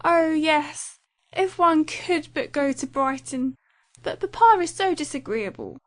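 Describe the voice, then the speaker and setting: A woman's voice: sits high, sing-song, measured pace, no echo, pitched above the performer's own voice. A woman voicing a spoiled, dramatic teenage girl in a melodramatic, wailing manner for an audiobook. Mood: pleading.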